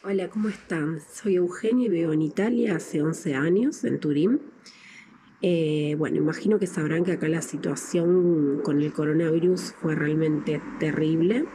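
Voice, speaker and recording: A woman's voice: deep voice, normal speed, noisy background. A woman in her thirties with an Argentine accent giving a casual personal update, recorded outdoors on a phone. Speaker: guest sending a video greeting. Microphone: phone at arm's length.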